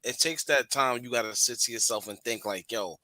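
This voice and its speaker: A man's voice: mid-range voice, a little fast, crowd noise. A man chatting on a podcast in a laid-back, slangy way and swearing casually.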